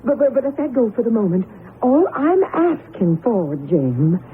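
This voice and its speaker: A woman playing a middle-aged wife who longs for a child, speaking in a breathless, emotional, halting way in a radio drama. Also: fairly high pitch, very animated. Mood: pleading, insistent. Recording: muffled lo-fi radio broadcast with noise.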